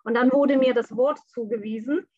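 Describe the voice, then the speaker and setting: A young woman who grew up in Bangladesh speaks frankly as an interview guest, pausing often with fillers. Her voice sits a little high. The recording is dry and somewhat dull. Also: fairly even intonation, no room sound. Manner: open, frank and reflective, with frequent fillers. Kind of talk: conversational interview.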